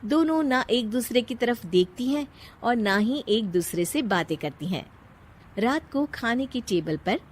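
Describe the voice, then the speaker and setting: A woman narrating a scripted story, playfully addressing her listeners. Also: medium pitch.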